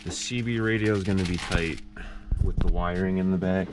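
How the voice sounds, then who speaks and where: A low-voiced man talks through a hands-on project in a casual, plainspoken way. He explains things step by step, with some noise behind him. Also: fairly even intonation, a little fast. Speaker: DIY car vlog host. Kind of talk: casual project walkthrough with voice-over.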